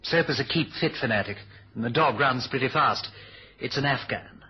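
An actor playing a dry, worried British government official in a 1970s radio spy drama, formal and understated. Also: male voice, medium pitch, moderate pace, breathy edge. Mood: dry, resigned. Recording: dull old radio recording.

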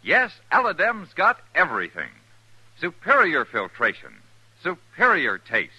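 An American man giving an emphatic product pitch in the style of a 1950s radio commercial. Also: wildly swooping pitch, unhurried, sharp tone, breathy edge, dry recording, lo-fi sound. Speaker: commercial announcer. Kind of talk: 1950s radio cigarette advertising.

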